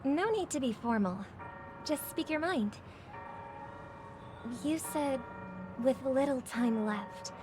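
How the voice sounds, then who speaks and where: A gentle young-sounding songstress from a video game, speaking slowly in a fairly high voice. She is polite, thoughtful and measured, with music playing behind her. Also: animated. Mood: gracious. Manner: measured, polite, soft-spoken. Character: gentle, thoughtful famous female singer. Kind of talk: scripted game voice acting.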